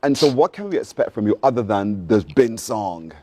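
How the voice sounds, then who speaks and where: Lively Barbadian morning show host. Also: male voice, fairly low pitch, normal speed, a little hiss or hum. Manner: encouraging, upbeat, repeats the guest's answers back. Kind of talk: TV interview.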